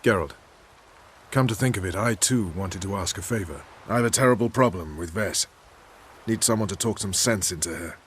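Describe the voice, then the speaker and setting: An actor playing a world-weary military commander in a fantasy game, speaking in a measured, confiding way. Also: male voice, low voice, slight background noise, close to an everyday voice. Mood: grave, confiding. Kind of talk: scripted fantasy game acting.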